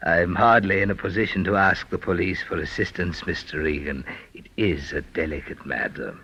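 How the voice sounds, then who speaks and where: An actor in an old-time radio detective drama playing a shifty, smooth-talking fake mind-reader and blackmailer with a husky voice. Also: male voice, sits low, normal speed, bright, forceful tone, a little hiss or hum. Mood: smooth, guarded. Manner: formal, oily phrasing that slips into slang. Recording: dull, lo-fi old radio recording.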